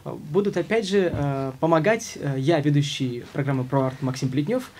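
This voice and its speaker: A man hosting a studio interview show, speaking haltingly with frequent filler sounds. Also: a little high, darkish tone, a little hiss or hum. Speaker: show host. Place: studio with logo backdrop. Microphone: studio mic on stand.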